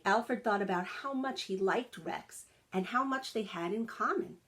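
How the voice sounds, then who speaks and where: A woman reads a children's story aloud at an unhurried, slow pace. She is expressive and sympathetic, with simple asides for young listeners. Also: medium pitch. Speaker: teacher reading a picture book aloud.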